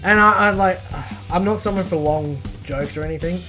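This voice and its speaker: A man with an Australian accent and a slightly high-pitched voice chats as a podcast co-host. He is informal and unscripted, dropping swear words freely. Faint music sits behind his talk. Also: moderate pace. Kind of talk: casual off-the-cuff podcast chat.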